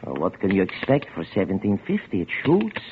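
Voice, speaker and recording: Flustered, sing-song shop clerk. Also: male voice, deep voice, wildly swooping pitch, a little fast. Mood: eager, flustered. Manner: stammering, repetitive, fussy salesman patter. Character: flustered, eager, hesitant male pawnshop gun seller. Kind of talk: mid-century American radio drama acting. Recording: lo-fi old radio broadcast, faint noise.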